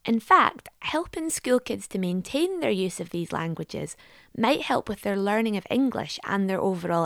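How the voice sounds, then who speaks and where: A woman's voice: mid-range voice, gravelly, no echo, clean studio sound. A woman reporter reading scripted narration in a fluent, polished delivery.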